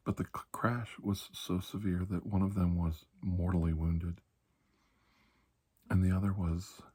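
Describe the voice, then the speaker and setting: A man playing a calm, kindly doctor in a soft-spoken ASMR roleplay, reassuring and deliberate, with long pauses and breathy slips into whispering. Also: deep voice. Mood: hushed, confiding. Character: calm, kindly, ageless male doctor of alien medicine.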